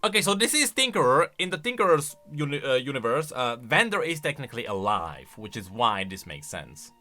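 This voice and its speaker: A man's voice: medium pitch, very animated, fairly quick, pushed and bright, faint music behind. A man giving casual, enthusiastic reaction commentary, explaining game lore as he goes.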